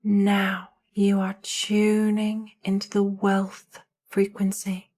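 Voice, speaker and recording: Casting: female meditation guide, mid-pitched, very unhurried delivery, hushed and soothing, short phrases with generous pauses. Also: completely flat delivery. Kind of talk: slow guided meditation narration.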